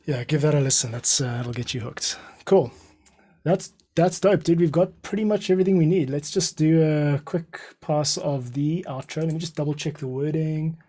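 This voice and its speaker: A man's voice: a little high, normal speed, dark tone. A man hosting a podcast in casual chat, laid-back and slangy, calling his guest dude. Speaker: podcast host.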